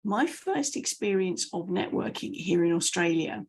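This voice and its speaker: Breathy, animated British woman. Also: lowish voice, moderate pace. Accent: British English. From United Kingdom. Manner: animated storyteller, self-deprecating asides. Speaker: message clarity coach. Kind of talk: coaching talk to camera with personal anecdotes.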